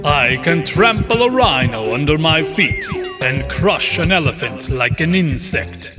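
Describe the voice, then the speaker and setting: A man's voice: a little high, very animated, a little slow. A man playing a boastful intruder who poses as an invincible warrior in a children's bedtime story. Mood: boastful, menacing. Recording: long hall reverb, music bed.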